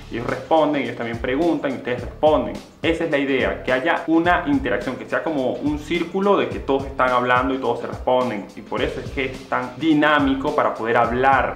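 A man's voice: mid-range voice, reverberant. A young man from Venezuela, casually walking viewers through an app in a sponsored vlog. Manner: chatty, animated, gestures a lot while talking. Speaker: YouTuber. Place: small indoor room with posters. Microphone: camera-mounted shotgun mic at desk distance.

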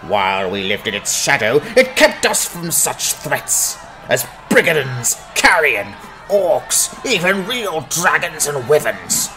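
A man's voice: on the high side, big pitch swings, slow, bright, forceful tone, soft background music, exaggerated voice, rough recording. A man voicing a self-righteous village priest in a fantasy audiobook, declaiming and accusing with outrage. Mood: bitter blame. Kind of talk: dramatized fantasy audiobook acting.

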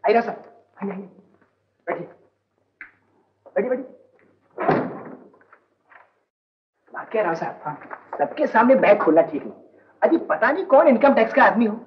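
A glib, fawning star's secretary. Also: male voice, high voice, sing-song, a little hiss or hum. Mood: ingratiating. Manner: smooth-talking, fawning, quick excuses. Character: fast-talking, wheedling male secretary of a star singer. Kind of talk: 1970s film dialogue. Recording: dull old film soundtrack.

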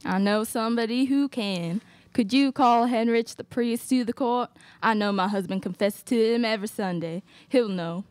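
A woman's voice: on the high side, moderate pace, darkish tone, dry recording, lightly acted. A young woman playing an aggrieved, accusing wife in a student radio play at a close microphone. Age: young adult. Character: indignant, accusing wronged Western wife. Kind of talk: student radio theatre acting. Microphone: close stage microphone.

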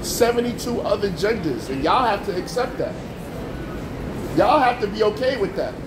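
A man preaching on the street, forceful and repetitive, firing rhetorical questions at passers-by. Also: high-pitched, big pitch swings, moderate pace, reverberant, background noise. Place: outdoors on a street with passing traffic.

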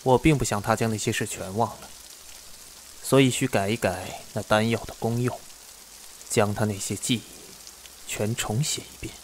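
A man acting an aloof, possessive immortal lord in a fantasy audio drama, cool, measured and sure of himself. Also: on the low side, steady tone, background noise, lightly acted. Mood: calm, deliberate.

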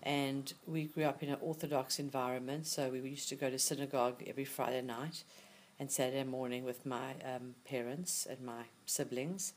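A man's voice: medium pitch, fairly even intonation, normal speed, a little hiss or hum. A man from South Africa, a parent, answering an interview in a rambling, casual way with fillers.

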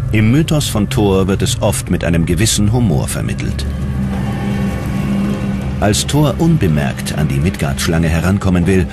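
A man narrating a scripted documentary in a dramatic, measured storytelling manner. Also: deep voice.

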